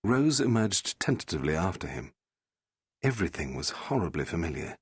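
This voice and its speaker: A man narrating a fiction audiobook in a measured, dramatic and suspenseful manner. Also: low voice, very animated, breathy edge, no room sound, clean studio sound.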